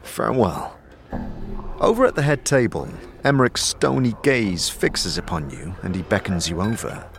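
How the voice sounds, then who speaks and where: A man's voice: fairly low pitch, very animated, normal speed, few pauses. A man giving dramatic scripted second-person narration for a fantasy audio drama. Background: music.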